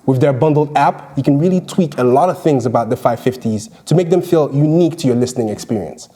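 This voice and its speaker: A man reading a scripted review narration in a measured, explanatory way, as a product reviewer. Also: medium pitch, warm tone, breathy edge.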